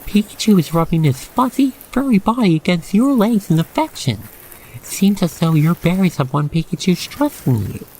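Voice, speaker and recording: A man with a fairly high, slightly creaky voice narrates an ASMR roleplay straight to the listener. His delivery is theatrical and very animated, rising and falling widely as he describes things in wordy detail. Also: moderate pace, a little hiss or hum, rough recording. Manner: expressive, theatrical, wordy storytelling.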